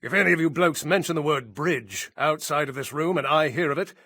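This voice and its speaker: A man's voice: fairly high pitch, brisk, bright, forceful tone, dry recording. An actor playing a stern, sardonic British army officer with a formal manner, briefing his men in a video game. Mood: stern, warning. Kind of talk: military briefing, scripted game acting.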